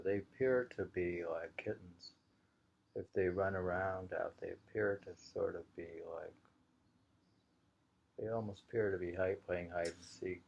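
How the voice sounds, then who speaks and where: A man reading short poems in an unhurried, halting way, repeating lines slowly and musing on them informally in a dull, lo-fi home recording. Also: deep voice, vocal fry, a little mellow, a little hiss or hum. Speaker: reader of a poetry anthology.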